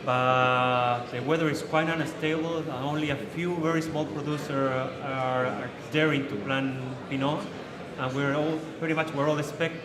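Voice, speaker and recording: A man with a Chilean Spanish accent, speaking on a wine panel, halting and searching for words. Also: medium pitch, fairly even intonation, crowd noise. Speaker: winemaker panelist. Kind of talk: panel discussion. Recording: distant mic in a reverberant hall with audience.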